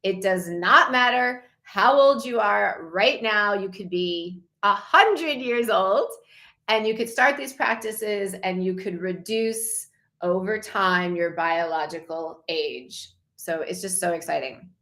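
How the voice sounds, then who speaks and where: Woman, 50s, wellness coach, slow deliberate delivery, enthusiastic sales tone, mid pitch. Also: bright, forceful tone. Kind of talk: slide presentation for a challenge group.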